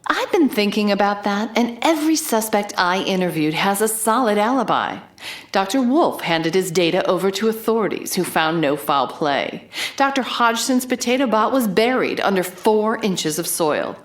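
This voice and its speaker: A woman's voice: mid-range voice, animated, normal speed, close to an everyday voice. A woman playing a bold, wry investigative reporter who narrates her case in a dramatic, noir-style audio drama. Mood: calm, probing.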